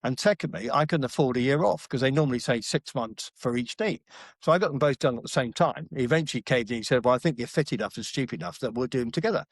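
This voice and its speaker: A man's voice: mid-range voice, quick pace, dry recording. Middle-aged man, blunt ex-forces storyteller, mid-range voice, dry close mic. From Britain. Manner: blunt, frequent restarts and fillers, salty slang. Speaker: podcast guest. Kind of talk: casual storytelling interview.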